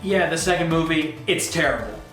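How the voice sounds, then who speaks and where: Male review narrator, animated pitch, noticeably roomy echo, faint music behind. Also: brisk. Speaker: co-host of a gaming review show. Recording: echoey room, music underneath.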